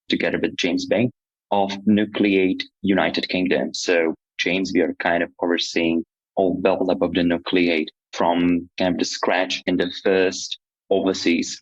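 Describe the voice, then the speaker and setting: Very low-voiced young man from Slovakia. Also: no echo, lo-fi sound. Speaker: PhD student and nonprofit co-director. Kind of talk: interview answers.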